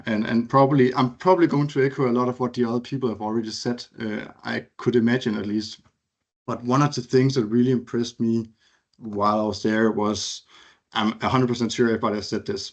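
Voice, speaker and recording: A man from Denmark in his thirties, reminiscing casually on a video call, hesitant with many fillers and restarts. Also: mid-range voice. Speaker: alumni speaker. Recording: muffled video call audio.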